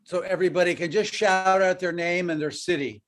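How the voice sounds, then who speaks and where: A man on a video call, telling a joke and a story in short phrases, pausing for an interpreter. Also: high-pitched, steady tone, a bit bright. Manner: short phrases paused for translation, light joking. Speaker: guest speaker. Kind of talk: casual talk through an interpreter.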